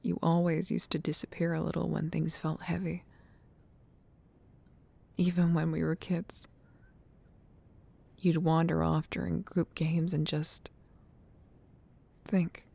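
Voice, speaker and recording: A woman playing a gentle, nostalgic childhood friend in an ASMR roleplay, speaking softly and breathily, tender and unhurried. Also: low-pitched, level tone, exaggerated voice. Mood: gently reassuring. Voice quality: soft, breathy near-whisper with creaky fry. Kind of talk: ASMR roleplay, soft intimate talk.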